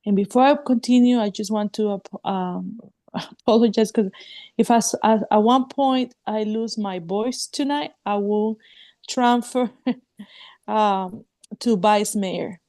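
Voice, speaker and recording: Hoarse mayor with a mellow voice. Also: female voice, medium pitch, no room sound. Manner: halting, with fillers and restarts. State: sore throat. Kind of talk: chairing a council meeting. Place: home, on a video call. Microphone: video call.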